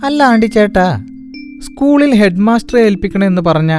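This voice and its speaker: A man voicing a sly chicken-thieving fox in a cartoon, flattering and scheming with exclamatory mock admiration. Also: high-pitched, fairly quick. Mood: wheedling. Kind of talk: cartoon dialogue. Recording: music behind the voice.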